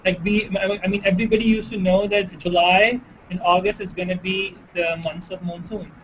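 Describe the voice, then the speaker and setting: High-voiced young man, halting speech. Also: steady tone, slight background noise. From Pakistan. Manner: halting, many likes and restarts. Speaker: guest on the call. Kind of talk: casual questioning. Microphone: video call. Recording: dull lo-fi video call audio.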